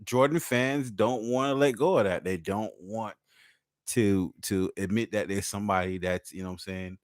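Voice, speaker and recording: A man's voice: fairly low pitch, moderate pace, dry recording, clean studio sound. A man co-hosting a podcast in casual chat, laid-back and profane, laughing often. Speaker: podcast co-host.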